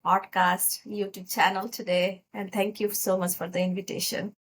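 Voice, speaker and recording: A woman's voice: mid-range voice, flat delivery, normal speed, a little hiss or hum. A middle-aged woman from Nepal with a Nepali accent, a physician talking casually as an interview guest.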